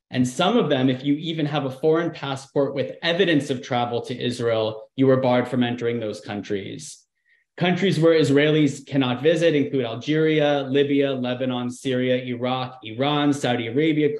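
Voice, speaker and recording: A man in his thirties giving a conversational slide talk, with frequent ums. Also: medium pitch. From United States. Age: 30s. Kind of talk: presentation with slides. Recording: echoey room.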